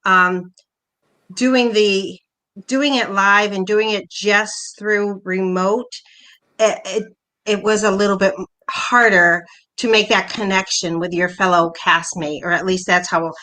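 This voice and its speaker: A woman's voice: medium pitch, steady tone, takes it slowly, pushed and bright. A woman who acts in community theatre, giving an informal panel answer over a video call.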